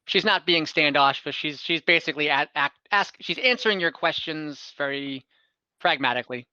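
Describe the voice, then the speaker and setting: A man narrating a tabletop game as game master, conversational and halting as he improvises scenes. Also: on the high side, bright, forceful tone, smooth, dry recording, muffled recording. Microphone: close mic, online call.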